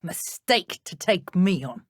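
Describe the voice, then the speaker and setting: A cruel British dark witch from a fantasy video game. She is haughty and contemptuous, taunting as she goes, and her pitch swings widely. The recording is close and completely dry. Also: lively intonation, slow pace, husky, dry recording, heavily acted. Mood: scornful, brooding. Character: cruel, sneering female dark wizard henchwoman, adult. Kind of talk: video game combat and idle voice lines.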